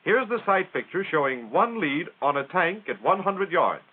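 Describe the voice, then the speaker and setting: A man's voice: on the high side, very animated, normal speed, husky. An American man narrating a 1940s military training film in a plain-spoken, instructional way with folksy phrasing. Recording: tinny, band-limited 1940s film soundtrack.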